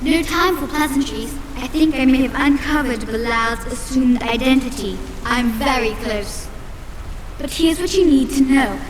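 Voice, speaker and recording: An actress playing a brisk, secretive sorceress in a video game, speaking with urgency. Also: female voice, high voice, long reverb tail, a little hiss or hum, close to an everyday voice. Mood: urgent.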